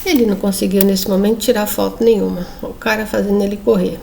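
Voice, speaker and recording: Chatty woman with a gravelly voice. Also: mid-range voice, flat delivery, fast talker, warm tone, slight background noise. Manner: chatty, addresses viewers, frequent tag questions. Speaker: book reviewer. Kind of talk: casual presentation reading comic excerpts aloud.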